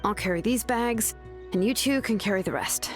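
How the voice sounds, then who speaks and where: A woman's voice: medium pitch, moderate pace, natural voice. A woman voicing a confident, easygoing young heroine with a big appetite, over game music. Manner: breezy and lively.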